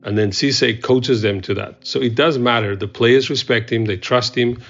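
A man's voice: medium pitch, steady tone, normal speed, a bit bright, faint music behind. A man giving conversational, explanatory analysis as an expert in an interview. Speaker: expert commentator. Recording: dull, lo-fi interview audio.

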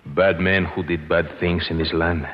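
Male actor as loyal, anxious old Mexican retainer for radio drama: low-pitched, halting, Mexican accent, muffled vintage sound. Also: level tone, natural voice. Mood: earnest, revealing. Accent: Mexican Spanish. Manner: halting, pleading, deferential. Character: loyal elderly Mexican servant, humble, anxious, protective. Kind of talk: 1950s radio acting. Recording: noisy, muffled old 1950s radio recording.

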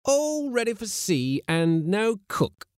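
A man's voice: mid-range voice, wildly swooping pitch, normal speed, a little mellow, no echo, clean studio sound, pitched above the performer's own voice. A man voicing a gloomy, prim ship's mate who talks with laboured sarcasm in a storybook sea tale.

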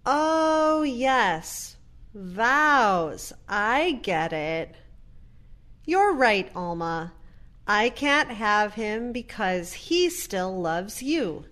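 A woman playing a gentle, earnest daughter in an audio play, measured and reflective. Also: mid-range voice, heavily acted. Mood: conciliatory. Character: gentle, earnest daughter visiting her mother in care.

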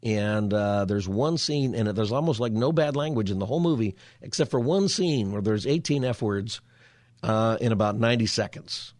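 Male talk-radio host, hoarse and raspy today, low voice, dry close studio mic, meandering chat. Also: moderate pace, darkish tone, no room sound. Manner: meandering, lots of fillers and restarts. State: hoarse from a cold. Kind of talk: casual call-in radio talk.

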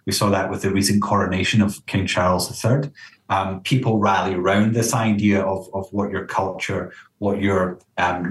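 A man speaking as a counsellor being interviewed, explaining in a conversational way and often checking in with the listener. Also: on the low side. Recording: roomy echo, distant from mic.

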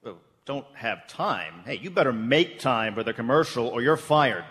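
An actor playing a bossy, exasperated radio producer in a comedic radio play. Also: male voice, medium pitch, expressive, moderate pace, fairly bright tone, close to an everyday voice. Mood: angry. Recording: noisy live theatre hall, long reverb.

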